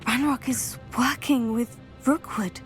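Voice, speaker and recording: An actress playing a modest, polite teenage student adventurer in a video game. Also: female voice, fairly high pitch, steady tone, takes it slowly, faint music behind. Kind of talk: video game cutscene acting.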